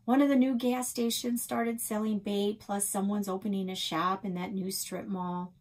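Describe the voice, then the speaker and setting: Reader as storybook bait shop woman, middle-aged, folksy, wry, hearty, slightly rough voice. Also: medium pitch, little pitch movement, normal speed, a little mellow, close to an everyday voice. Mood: resigned.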